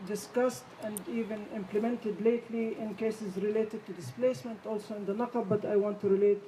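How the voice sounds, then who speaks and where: A Palestinian woman lawyer speaking on a conference panel, halting with many fillers but firm and emphatic. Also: mid-range voice, steady tone, warm tone. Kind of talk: panel discussion remarks. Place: conference hall. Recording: distant mic, faint room noise.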